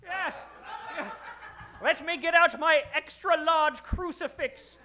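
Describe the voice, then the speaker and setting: An actor playing an excitable, pedantic professor in a comedic old-time radio adventure, theatrical and prone to flustered rambling. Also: male voice, extremely high pitch, slow, slight background noise, heavily acted. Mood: frantic zeal.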